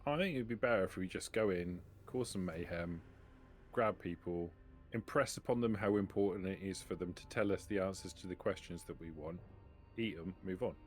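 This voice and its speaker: A man's voice: deep voice, normal speed, faint music behind. A man playing a tabletop role-playing game, talking in character at the table in a blunt, dry way.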